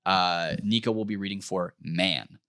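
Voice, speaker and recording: A man's voice: low voice, unhurried, pushed and bright. A man chatting casually as a podcast guest and comedy writer, rambling and self-deprecating.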